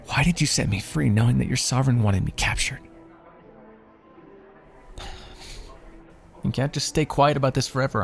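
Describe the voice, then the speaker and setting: A man's voice: medium pitch, gravelly, a little mellow. A man playing a smug, lovestruck royal in an ASMR fantasy roleplay, with theatrical, teasing, courtly phrasing. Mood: tender, earnest.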